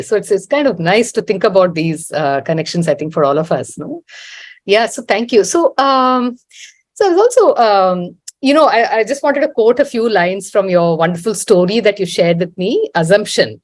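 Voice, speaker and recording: A woman's voice: medium pitch, expressive, moderate pace, a little mellow, dry recording. A woman interviewing a guest, asking long, thoughtful, rambling questions with lots of fillers over a video call. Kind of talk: casual conversation.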